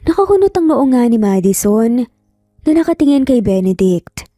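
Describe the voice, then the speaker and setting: Soft-toned Filipino woman storyteller, roomy echo. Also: on the high side, very animated, normal speed, studio quality. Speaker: storyteller, narrator. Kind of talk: scripted dramatic narration of a romance story. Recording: roomy echo, faint music behind. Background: faint music.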